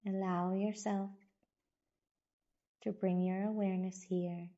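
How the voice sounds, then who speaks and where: A woman guiding a body scan meditation as a yoga teacher, giving gentle, soothing instructions with long pauses. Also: medium pitch, level tone, highs cut off.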